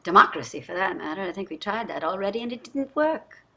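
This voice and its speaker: An actress playing a privileged, jaded middle-aged wife in a staged play reading, speaking in a theatrical, effusive way. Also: female voice, mid-range voice, expressive, fast talker, bright, forceful tone. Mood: skeptical. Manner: theatrical, effusive, drawling endearments. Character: wealthy, jaded, privileged wife on a dystopian luxury ship.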